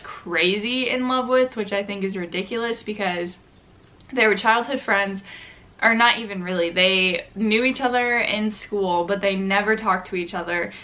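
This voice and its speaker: A young woman in her mid-twenties talks to camera at home in an easy, rambling way, dropping "like" all through her sentences. Her voice is bright, though her nose is stuffy and her throat sore from allergies. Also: medium pitch. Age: 20s. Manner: chatty, lots of "like" fillers, gushing and critical about books. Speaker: book vlogger. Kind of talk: casual chat to camera.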